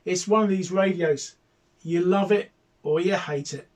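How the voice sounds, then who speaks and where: A man's voice: high-pitched, measured pace, darkish tone, dry recording. A middle-aged British man narrating an informal product history as a chatty hobbyist, with hesitant phrasing. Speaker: hobbyist video host.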